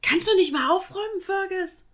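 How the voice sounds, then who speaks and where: A woman voicing a fussy, indignant female koala who scolds in a shrill, insistent way. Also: sing-song, a little slow, a bit bright, breathy edge, heavily acted. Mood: upset.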